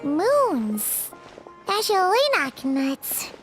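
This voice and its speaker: A woman's voice: extremely high pitch, wildly swooping pitch, very slow, music in the background, full character voice. A woman voicing a tiny, bossy and dramatic fairy girl companion who talks about herself in the third person in a game cutscene. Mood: frantic.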